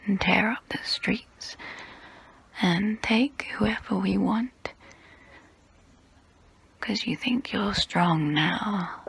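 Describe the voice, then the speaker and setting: Woman playing a slow, whispering, seductive vampire. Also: medium pitch, a bit bright, exaggerated voice. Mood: tempting, mischievous. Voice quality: breathy whisper. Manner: slow, intimate, deliberate delivery. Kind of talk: ASMR roleplay monologue, close-up whispering.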